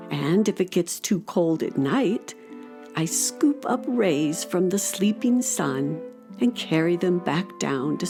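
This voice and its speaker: A woman playing a gentle, caring older female dragon in a children's radio play, speaking in a tender, earnest storytelling way. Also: fairly low pitch.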